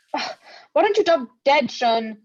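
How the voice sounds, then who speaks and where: A brash young female swordfighter, acted by a woman in a dramatic reading. Her voice sits fairly high and she speaks in blunt, mocking jabs. The sound is muffled and lo-fi. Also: big pitch swings, slow, dry recording, exaggerated voice. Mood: scornful. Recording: muffled lo-fi microphone audio.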